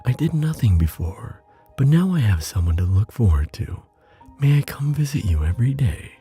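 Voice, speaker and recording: Lonely storybook hermit acted by a man, shy and hesitant, mellow tone, raised a little above the narrator. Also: medium pitch, very animated, moderate pace, slightly rough, faint music behind, pitched above the performer's own voice. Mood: hopeful, shy. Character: shy, lonely male hermit warming to friendship. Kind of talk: bedtime storybook character voice.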